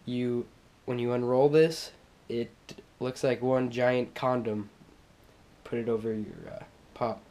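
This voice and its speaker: Young man, head cold, stuffy nose, unhurried halting delivery, filmed at home on an action camera. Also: mid-range voice, steady tone, warm tone. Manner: halting, many fillers and restarts. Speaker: vlogger. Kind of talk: casual show-and-tell vlog. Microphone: action camera on a tripod.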